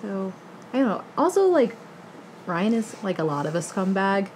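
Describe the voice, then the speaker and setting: A woman in her thirties in a casual book discussion, opinionated and slangy, with a halting flow full of filler. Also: medium pitch, sing-song. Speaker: book club co-host. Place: living room couch, indoors. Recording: clear background room noise.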